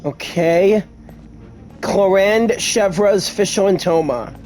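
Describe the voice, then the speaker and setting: High-voiced man doing let's-play commentary. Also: slow, darkish tone, faint music behind. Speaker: gaming YouTuber.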